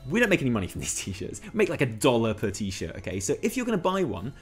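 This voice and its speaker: A man's voice: medium pitch, huge pitch swings, fast, breathy edge, faint music behind. A man co-hosting a gaming news show in casual banter, self-deprecating and prone to swearing. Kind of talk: casual banter and chat to viewers.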